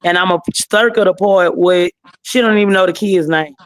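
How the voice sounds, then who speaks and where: A woman's voice: lowish voice, normal speed. A woman with a Houston, Texas accent, gossiping bluntly in casual chat over a remote call. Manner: blunt, gossipy, Southern slang.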